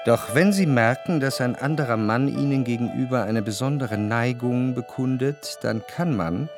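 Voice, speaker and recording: An actor playing the reflective, observant first-person narrator of a German radio drama, speaking in a measured, literary way. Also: male voice, mid-range voice, music in the background, natural voice. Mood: reflective, wry.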